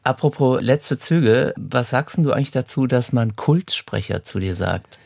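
A man's voice: mid-range voice, lively intonation, normal speed, creaky, darkish tone, slightly rough, no echo. A man presenting and interviewing as the host of an audiobook talk podcast, on a close studio microphone. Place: recording studio.

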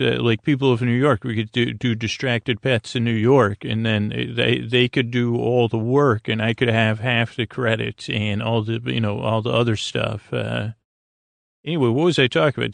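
A man with a very creaky, gravelly voice, recorded close and dry. He speaks as a podcast host lulling listeners to sleep, hesitating, stammering and drifting off into soothing, aimless tangents. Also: mid-range voice, dry recording. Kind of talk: rambling, meandering bedtime monologue to help listeners sleep.

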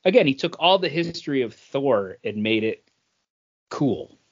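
A man's voice: medium pitch, sing-song, measured pace, muffled recording. A man chatting casually and enthusiastically as a wordy comic book podcast co-host. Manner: enthusiastic, wordy fan talk. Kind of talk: casual chat.

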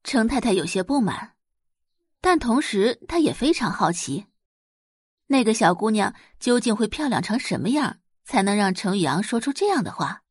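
A woman narrates a dramatic audio novel with lively, sweeping intonation. Her voice sits at a medium pitch with a slightly husky grain, recorded dry and close. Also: moderate pace, no echo.

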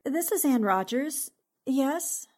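Plucky, commanding girl reporter for 1940s-style radio drama actress, high, lively voice. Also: takes it slowly. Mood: groggy, puzzled. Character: brisk, eager, commanding female newspaper reporter.

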